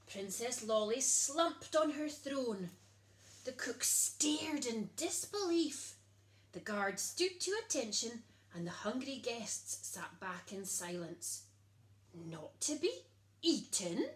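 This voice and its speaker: A woman, reading a children's picture book aloud in an animated storytelling style. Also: fairly high pitch, slow. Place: small child's bedroom, indoors. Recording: echoey small room.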